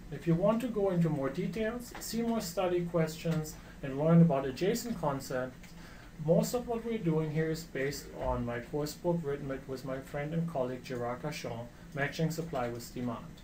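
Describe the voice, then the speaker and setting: A man's voice: on the high side, animated, normal speed, dark tone, a little hiss or hum, poor audio. A middle-aged man from Germany with a German accent, a professor giving an earnest online course lecture with frequent fillers and restarts.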